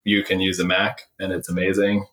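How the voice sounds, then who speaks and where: A very low-pitched man answers interview questions in a roomy, echoey space. He is emphatic and opinionated, stacking repeated phrases into lists as he makes his case in a conversational way. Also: completely flat delivery, brisk, a bit bright. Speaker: startup CEO and former finance leader, interview guest.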